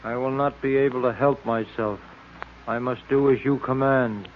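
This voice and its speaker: A man's voice: medium pitch, steady tone, moderate pace, lightly acted. An actor playing a detective's male sidekick under a villain's spell, in old-time radio serial style. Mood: entranced, obedient. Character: detective's male sidekick, hypnotized, feigning casual friendliness. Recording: tinny, noisy vintage radio recording.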